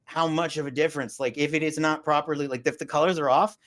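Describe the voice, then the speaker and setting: A woman's voice: sits low, steady tone, fast. A woman in her forties hosting a casual interview, rambling and chatty with lots of fillers. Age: 40s. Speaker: host.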